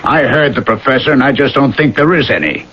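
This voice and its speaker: An actor playing a defensive, indignant small-town church worker in a 1980s radio drama, with a curt way of talking. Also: male voice, medium pitch, fairly quick, close to an everyday voice. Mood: anxious, challenging. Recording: dull, hissy old radio broadcast.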